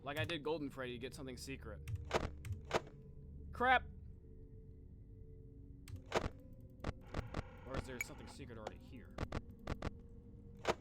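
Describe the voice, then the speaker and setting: A man's voice: mid-range voice, a little slow, long pauses, very noisy. A man doing casual live game commentary as a streamer, talking in slangy, reactive exclamations.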